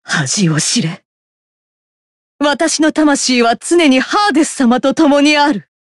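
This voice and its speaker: A woman playing a cold, haughty young dark sorceress in an anime game, imperious and scornful. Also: high-pitched, very animated, slow, no room sound, studio quality, exaggerated voice. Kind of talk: anime game battle acting.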